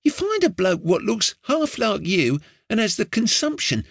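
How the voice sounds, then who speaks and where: A man's voice: high voice, wildly swooping pitch, vocal fry, fairly bright tone, no room sound, studio quality, voice pushed up, exaggerated voice. Man voicing a cheeky street boy. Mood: eager. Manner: chatty, slangy, quick.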